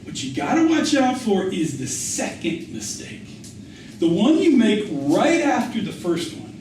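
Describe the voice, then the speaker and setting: A man's voice: sits high, big pitch swings, a little slow, a little mellow, pitched above the performer's own voice. A man reading aloud as a stoic farmer father, folksy and emphatic, telling cautionary tales with rhetorical questions. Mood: wry, instructive. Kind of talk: acted quote within a literary reading. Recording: echoey room, distant mic, faint noise.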